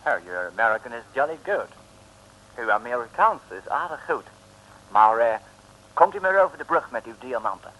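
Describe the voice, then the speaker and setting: An actor playing a courteous, formal English gentleman in an old radio comedy, with an English accent and English phrases dropped in. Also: mid-range voice, very animated, moderate pace. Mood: polite. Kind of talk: 1950s-60s Dutch radio comedy acting. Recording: noisy old radio recording.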